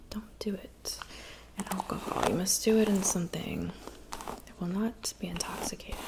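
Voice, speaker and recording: Woman acting a gentle whispering, creaky-edged witch. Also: mid-range voice, close to an everyday voice, poor audio. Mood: confiding, gentle. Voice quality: breathy whisper with creaky edges. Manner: soft, unhurried, chatty, with pauses. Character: gentle, attentive old witch who looks young. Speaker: ASMR performer. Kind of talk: whispered ASMR roleplay.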